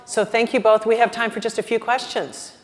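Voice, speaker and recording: A woman's voice: fairly high pitch, level tone, fairly quick. Conversational woman moderator in a reverberant hall. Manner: friendly, conversational, thinking aloud. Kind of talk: conference moderation. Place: large conference hall with audience. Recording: reverberant hall with audience murmur.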